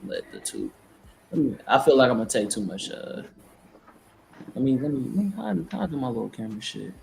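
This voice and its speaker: A man's voice: mid-range voice, very animated, fairly quick, rough recording. A man hosting an online debate in a casual, slangy, playful way on a group video call. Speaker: host of an online debate tournament. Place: multi-person video call. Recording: video call with clear background noise.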